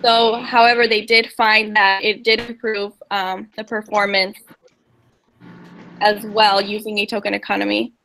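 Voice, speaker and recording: A woman's voice: mid-range voice, level tone, a little slow, sharp tone, a little hiss or hum, poor audio. A young woman from Indiana giving a class presentation, summarizing research articles hesitantly from her notes. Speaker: student presenter.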